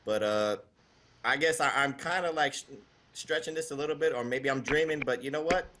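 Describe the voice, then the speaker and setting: Bright-voiced enthusiastic male panelist. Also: fairly high pitch, brisk, a little hiss or hum. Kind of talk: casual panel talk.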